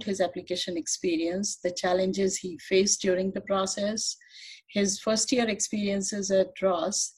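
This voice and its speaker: Woman, interviewer, formal, polite, scripted, husky voice. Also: mid-range voice, poor audio. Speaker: interviewer, host.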